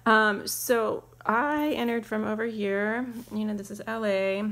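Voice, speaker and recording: A woman's voice: medium pitch, fairly even intonation, a little slow, fairly bright tone. Female travel vlogger, casual conversational narration, informal delivery. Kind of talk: casual chat and voiceover narration.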